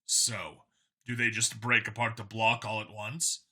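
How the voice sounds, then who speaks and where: A calm, commanding space cop acted by a male narrator, with a forceful, sharp voice. He talks curtly and with dry confidence, as a hero in a science fiction audiobook. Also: fairly low pitch, unhurried, breathy edge, exaggerated voice. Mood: curious. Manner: curt, dry, authoritative. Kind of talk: audiobook character voice.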